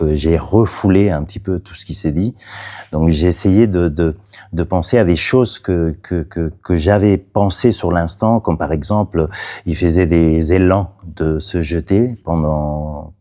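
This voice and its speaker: Playwright, male, Catalan mother tongue; very deep mellow voice, halting and soft-spoken. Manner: hesitant, many fillers and restarts. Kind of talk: interview about his play.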